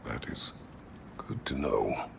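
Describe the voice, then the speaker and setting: An actor playing a gruff, stern, weary middle-aged warrior god in a video game, speaking tersely in a deep, gravelly voice. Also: male voice, whispered, full character voice. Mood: grave, restrained. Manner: terse, solemn, measured. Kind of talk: video game cinematic acting. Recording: loud background music.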